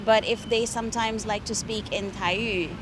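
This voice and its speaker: A woman's voice: medium pitch, fairly even intonation, normal speed, noisy background. A middle-aged woman interpreter from India speaking English with an American accent, chatting at length in an interview and laughing often. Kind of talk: conversational interview.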